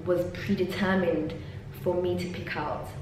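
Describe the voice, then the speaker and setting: A woman's voice: lowish voice, measured pace. Chatty young woman in an echoey office. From London, England. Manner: chatty and animated, many ums and laughs. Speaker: PhD student vlogger. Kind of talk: casual vlog to camera. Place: university office lab, indoors. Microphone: camera on desk, then handheld selfie. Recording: very echoey room, faint hum.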